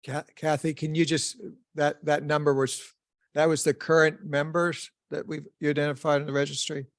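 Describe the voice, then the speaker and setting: A man on a panel clarifies a point and reassures the audience, repeating himself as he goes. He speaks flatly, and his voice sounds dry and close. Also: a little high, moderate pace, dry recording. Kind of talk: panel discussion.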